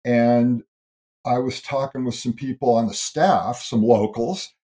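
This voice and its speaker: A man's voice: medium pitch, measured pace, mellow. A middle-aged man from the United States, a writer and health educator being interviewed on a podcast, explaining his ideas in a thoughtful way and often repeating words as he goes.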